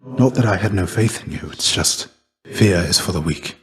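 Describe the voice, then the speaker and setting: An actor voicing a stoic, weary dead Greek warrior and fatherly mentor in a video game, speaking in a measured, formal, restrained and gently grave way. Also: sits low, expressive. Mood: stunned, grateful. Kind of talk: video game character dialogue.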